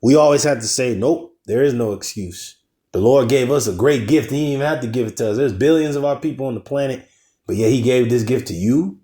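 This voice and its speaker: Man, mid-pitched, casual preacher, slangy, cursing, emphatic. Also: mellow. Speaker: teacher in a religious ministry. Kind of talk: casual scripture lesson.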